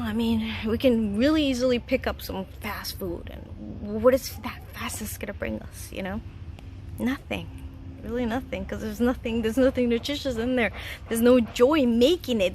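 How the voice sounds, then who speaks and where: A woman's voice: a little high, a little mellow, slight background noise. A woman in her thirties from Chile, giving casual, earnest life advice in a home vlog, chatty and laughing often. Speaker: vlogger. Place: home garden.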